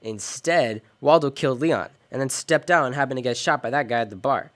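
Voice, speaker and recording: An actor playing a world-weary, decent American private detective in an old-time radio drama, wry and clipped. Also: male voice, mid-range voice, brisk, a bit bright, natural voice. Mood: matter-of-fact. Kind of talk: 1940s detective radio drama, first-person narration and dialogue.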